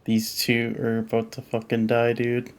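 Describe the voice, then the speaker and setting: A man's voice: mid-range voice, completely flat delivery, measured pace, smooth. A young man streaming a game and reacting live in casual, slangy, swear-heavy talk. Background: video game audio.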